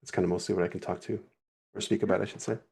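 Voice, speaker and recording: Gravelly low-voiced man, relaxed and rambling. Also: rapid-fire. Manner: relaxed, rambling, self-correcting. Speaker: guest animator. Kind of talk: casual livestream walkthrough.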